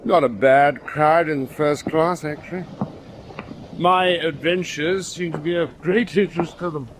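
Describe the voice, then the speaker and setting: An actor playing a boastful British naval commander with an English accent and a pompous, self-satisfied, dismissive way of talking. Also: male voice, fairly high pitch, a little slow, noisy background, close to an everyday voice. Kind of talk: period audio drama acting.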